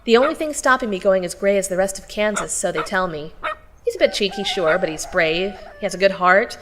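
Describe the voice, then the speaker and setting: An actress playing a spirited, cheeky and stubborn teenage farm girl in an audio drama, expressive and conversational. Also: medium pitch, brisk, background noise, close to an everyday voice. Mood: chatty, wry. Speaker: narrator and lead character. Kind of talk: audio drama acting with first-person narration.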